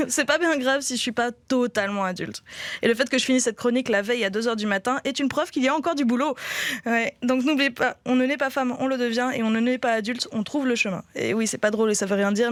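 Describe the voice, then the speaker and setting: A woman's voice: a little high, no echo. A woman, delivering a humorous, self-mocking column on a radio morning show and laughing between her jokes. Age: 20s. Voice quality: voice like a teenager breaking. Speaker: comedy columnist. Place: radio studio.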